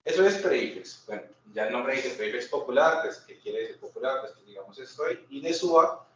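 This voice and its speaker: A man's voice: mid-range voice, expressive, moderate pace. A Colombian man presenting informally at a seminar, conversational and often checking in with short questions to his listeners. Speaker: seminar speaker. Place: indoor seminar room. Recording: very echoey room, distant muffled mic, faint noise.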